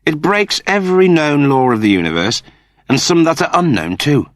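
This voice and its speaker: A man voicing an officious, self-important space crewman in a sci-fi audiobook, with a brisk, clipped manner. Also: a little high, lively intonation, no room sound, studio quality, voice pushed up, natural voice. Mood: eager, scheming.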